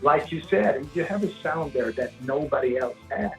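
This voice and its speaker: A man from Sweden with a big, gravelly voice talks his way through long stories in a relaxed interview, often starting over mid-sentence. Faint music sits low behind him. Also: normal speed, rough recording. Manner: rambling storyteller, frequent restarts. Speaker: singer-songwriter guest. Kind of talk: casual interview chat.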